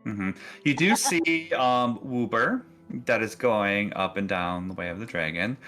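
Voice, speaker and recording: A man's voice: on the low side, lively intonation, unhurried, bright, forceful tone, soft background music. A man working as game master, narrating casually in an easygoing, encouraging way. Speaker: game master of a tabletop roleplaying game.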